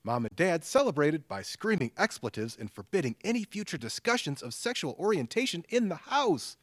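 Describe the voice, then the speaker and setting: A man's voice: fairly high pitch, big pitch swings, brisk, no echo. A man acting in an audio drama as a supportive, sardonic older brother with a dry, teasing humor.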